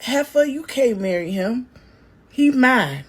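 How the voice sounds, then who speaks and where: A woman's voice: on the low side, steady tone, a little fast, mellow, slightly rough. A woman voicing a drunk, furious ex-wife. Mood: screaming. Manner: screaming, taunting.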